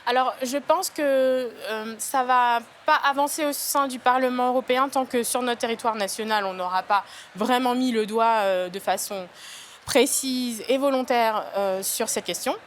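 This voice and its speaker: A woman doctor giving measured, thoughtful interview answers. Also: a little high, a little hiss or hum.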